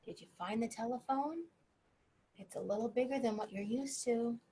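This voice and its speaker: A woman reading a bedtime story to young children, gently encouraging them and pausing to ask questions. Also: fairly high pitch, slightly rough.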